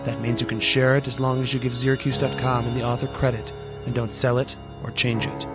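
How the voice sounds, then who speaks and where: Male announcer for scripted series intros and credits, mid-range pitch, clear music bed underneath. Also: flat delivery, a little fast, highs cut off. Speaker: announcer of audio fiction series. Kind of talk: scripted intro and outro narration.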